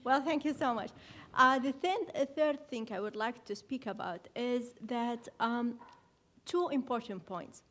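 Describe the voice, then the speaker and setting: A young woman from Iraq, an audience member, speaking earnestly and haltingly at a standing microphone in a lecture hall. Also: a little high, fairly bright tone. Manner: earnest, hesitant, halting phrasing. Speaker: audience member asking a question. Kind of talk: public forum comment at a microphone.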